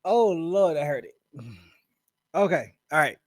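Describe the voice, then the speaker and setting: Man, reaction host, high voice, dry close mic, casual slang. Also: unhurried, dry recording. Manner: loose, joking, slangy.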